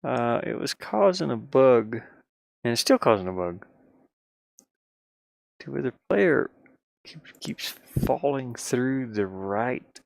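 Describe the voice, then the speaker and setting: Gravelly, tired man muttering as he works. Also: mid-range voice, very animated, slow, long pauses, a little mellow, dry recording. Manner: muttering to himself, playful sing-song sounds. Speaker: indie game developer narrating own work. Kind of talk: casual rambling commentary while working.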